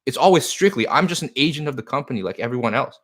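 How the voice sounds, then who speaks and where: A man's voice: medium pitch, animated, fast talker. Earnest rambling man, Chinese native speaker. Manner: earnest, rambling, repeats himself. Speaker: card shop owner. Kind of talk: live stream talk answering comments.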